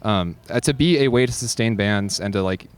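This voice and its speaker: A man's voice: on the low side, a little fast, clean tone. A man chatting casually in an interview, earnest and informal, thinking aloud as he goes. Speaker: cassette label owner and musician, interview guest.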